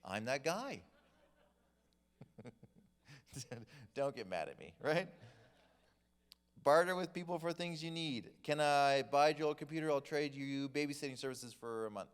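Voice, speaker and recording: A man's voice: a little high, measured pace, long pauses, clean tone. Joking man preaching in a hall. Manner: chatty, joking storyteller. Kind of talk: casual sermon on money. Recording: long reverb of a hall.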